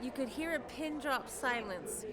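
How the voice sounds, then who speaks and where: High-voiced woman giving a gushing testimonial. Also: expressive, unhurried. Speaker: audience member giving a testimonial. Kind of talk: enthusiastic spoken testimonial. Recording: crowd chatter behind the voice. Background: audience crowd.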